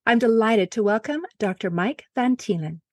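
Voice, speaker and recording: A woman's voice: on the high side, normal speed, a little mellow, dry recording, clean studio sound. A woman hosting a podcast, reading a scripted introduction and then asking questions in an enthusiastic, upbeat way. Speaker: podcast host.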